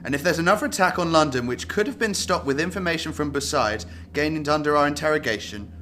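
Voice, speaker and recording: A man plays a scornful security service officer in a radio drama, sweary and accusatory. His tone is very forceful and bright, and faint noise sits behind it. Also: fairly high pitch, lively intonation, fast talker. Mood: scornful, accusing.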